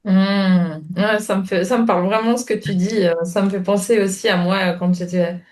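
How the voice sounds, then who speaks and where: A woman in casual conversation, talking in a halting, hesitant way with lots of fillers. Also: medium pitch, steady tone. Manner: warm, hesitant, many fillers. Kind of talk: conversational talk.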